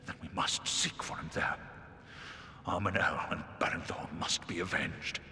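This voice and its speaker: An actor voicing a proud, wounded giant eagle in a fantasy game, speaking solemnly in formal, archaic phrasing. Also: male voice, fairly even intonation, a little slow, sharp tone, slight background noise, heavily acted. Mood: grave, grieving. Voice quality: very deep, gravelly, breathy. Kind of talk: epic fantasy game voice acting.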